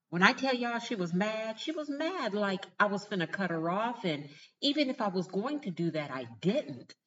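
A woman's voice: deep voice, highs cut off, slight background noise. An older woman telling a personal story in a casual, chatty self-help vlog, often laughing as she talks. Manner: chatty, laughs easily, animated retelling.

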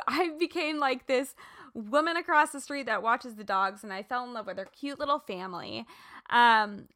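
Bright-voiced chatty woman host. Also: sits high. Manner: chatty, rambling, lots of "like" and "you know". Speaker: podcast host. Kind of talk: casual interview chat.

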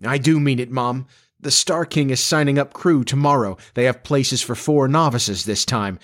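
A man voicing an eager, starry-eyed nineteen-year-old farm boy in a storybook, with an excitable, folksy, boyish manner. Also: medium pitch, moderate pace, pitched above the performer's own voice, lightly acted. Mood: earnest, insistent.

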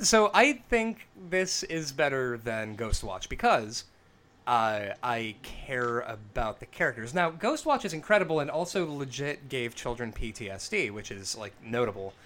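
A man with a rough, smoke-worn voice chatting casually on a film podcast, animated and quick to swear. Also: mid-range voice. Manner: animated, swearing, acts out quotes. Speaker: podcast co-host.

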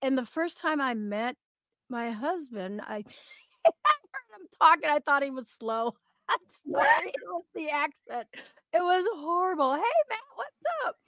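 A woman's voice: high-pitched, very animated, measured pace, fairly bright tone, no echo. A middle-aged American woman from Oregon, a podcast guest chatting casually in a giggly, joking manner. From Oregon, United States. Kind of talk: casual chat.

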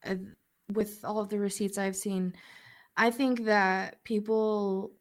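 A woman's voice: mid-range voice, flat delivery, slow pace. Chatty woman podcast co-host, stuffy cold. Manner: chatty, laughs often between points. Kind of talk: casual podcast chat.

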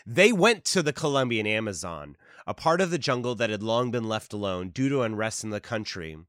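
A man's voice: lowish voice, animated, a little fast, fairly bright tone, dry recording, studio quality. A man playing a young conference host, addressing an audience formally and politely in an audio drama. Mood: upbeat, presenting. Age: young adult. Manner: polite, formal public announcing. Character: grinning young male conference host in his thirties. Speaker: conference presenter. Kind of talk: audio drama stage speech.

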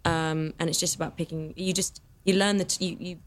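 A young adult actress talking candidly in a casual podcast chat, full of fillers like "you know" and "like". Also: female voice, on the low side, fairly even intonation, moderate pace. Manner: chatty, candid, many fillers. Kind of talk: casual conversation.

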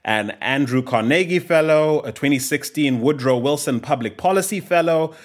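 A man hosting a podcast interview, lively and reading out guest bios at length. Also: a little high, moderate pace. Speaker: podcast host.

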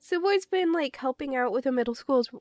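A woman's voice: high voice, lively intonation, a little fast, darkish tone, no echo. A woman co-hosting a podcast in casual chat, sounding sniffly and congested from a cold. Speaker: podcast co-host.

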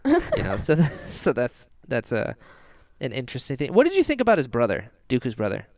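A man's voice: medium pitch, wildly swooping pitch, brisk, a little mellow, no room sound, studio quality. A man hosting a casual fan discussion podcast, talking animatedly and often slipping into mock quotes of imagined dialogue. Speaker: podcast host.

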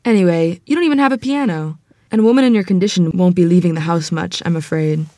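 A woman's voice: lowish voice, fast, warm tone, no room sound, natural voice. A woman acting a sturdy, proud, church-going Southern teacher in her fifties in a screenplay table read, firm, motherly and matter-of-fact.

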